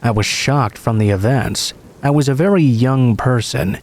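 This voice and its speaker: A man reading scripted first-person stories as a narrator, in a measured storytelling way. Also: mid-range voice, darkish tone.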